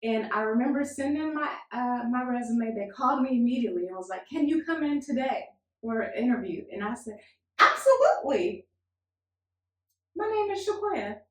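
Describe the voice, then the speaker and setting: A woman co-hosting a podcast as a family therapist, telling stories in a lively way and laughing often. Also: a little high, normal speed. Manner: animated storyteller, laughs often. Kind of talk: casual podcast chat. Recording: echoey room.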